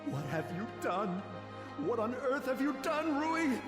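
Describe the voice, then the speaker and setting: Distraught father, high male voice, wide swings, emotional, anime game, loud music. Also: very animated, a little slow, echoey room, full character voice. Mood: horrified.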